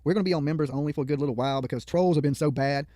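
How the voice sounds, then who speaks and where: High-pitched, fast-talking man livestreaming. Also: dark tone, no room sound, studio quality. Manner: colloquial, blunt, swearing, opinionated. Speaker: YouTube livestream host. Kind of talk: casual livestream commentary. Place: studio.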